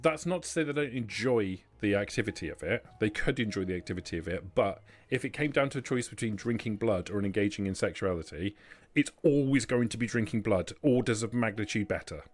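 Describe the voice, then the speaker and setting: A man acting as game master, explaining rules and lore in a measured, detailed way. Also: lowish voice, animated.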